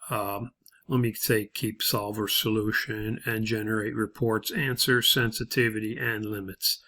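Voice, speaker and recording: A man narrating an unscripted, step-by-step spreadsheet tutorial as an instructor, in a halting way. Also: lowish voice, pushed and bright.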